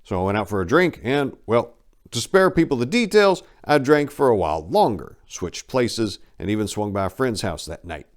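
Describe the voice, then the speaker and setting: A man with a deep voice narrates a personal memoir. He tells it as a dramatic reading, conversational and wry, like an audiobook narrator recounting his own life. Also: sing-song, normal speed.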